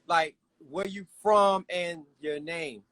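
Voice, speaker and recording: A male interview host speaks in a slightly high voice, close and dry with no room sound. He keeps things friendly and hyped, dropping casual slang between questions. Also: fairly even intonation, slow, fairly bright tone, dry recording. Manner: friendly, hype, uses slang.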